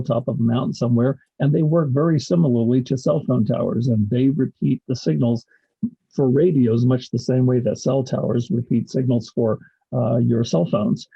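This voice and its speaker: A man presents informally, like an instructor explaining slides. His voice is husky with a noticeable creak, and he pauses often mid-sentence as he explains. The recording is somewhat dull and lo-fi. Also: mid-range voice. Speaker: college instructor and radio club advisor giving a presentation.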